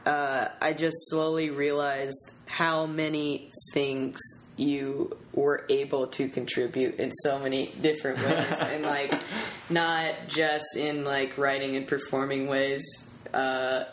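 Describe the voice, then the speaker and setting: A woman's voice: low-pitched, flat delivery, slow pace. A woman hosting a casual podcast chat, informal and effusive, thinking aloud as she goes. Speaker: podcast host. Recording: roomy echo, faint background noise.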